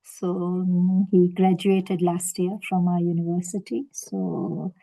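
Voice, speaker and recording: A woman's voice: fairly low pitch, little pitch movement, slow pace, mellow, rough recording. A woman in her sixties organizing a devotional group call, speaking with proud encouragement. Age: 60s. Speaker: session organizer. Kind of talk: casual group video call.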